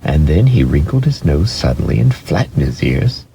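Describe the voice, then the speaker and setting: A man giving a dramatic storybook narration in an expressive, deliberate way. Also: rumbling low, vocal fry, a little hiss or hum.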